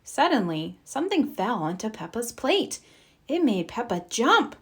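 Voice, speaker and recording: A woman reading a children's storybook aloud in an expressive, deliberate storytelling style. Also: a little high. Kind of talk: read-aloud scripted narration.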